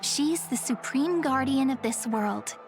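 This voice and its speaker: High, sweet young girl guide. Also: measured pace, music in the background. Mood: explaining gently. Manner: gentle, chatty, polite. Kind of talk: video game character acting.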